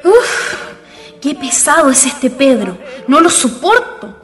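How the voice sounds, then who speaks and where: A feisty teenage daughter from a radio play, acted by a woman, with a very high, swooping voice. She is sassy and indignant, with a Chilean accent, over music. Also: very animated, takes it slowly, heavily acted. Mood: annoyed, indignant. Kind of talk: radio drama acting.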